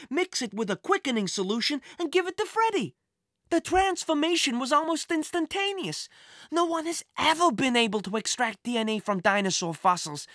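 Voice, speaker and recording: A narrator voicing an excitable, proud young boy inventor in a children's storybook. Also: medium pitch, dry recording, clean studio sound, voice pushed up, natural voice.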